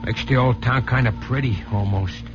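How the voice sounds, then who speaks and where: A muffled vintage radio recording with heavy music behind. A male actor plays a streetwise American sidekick, loyal and soft-hearted, in a mid-pitched, plain-spoken voice. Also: normal speed, natural voice. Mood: sympathetic, reflective. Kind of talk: 1940s radio drama acting.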